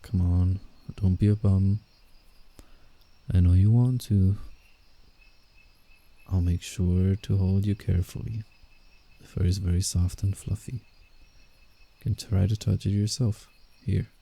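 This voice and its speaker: Werewolf, male actor, roleplay ASMR. Low-pitched, slow-paced, guarded forest protector with a teasing streak and a soft heart. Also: fairly even intonation, vocal fry, heavily acted. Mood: playful, reassuring. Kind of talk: roleplay ASMR, scripted character acting with inner monologue.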